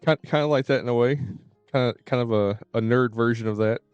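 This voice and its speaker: A man livestreaming a game and chatting casually with viewers in a halting, informal, repetitive way. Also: medium pitch, darkish tone, clear, resonant tone, dry recording, highs cut off, faint music behind. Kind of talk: casual chat with stream viewers.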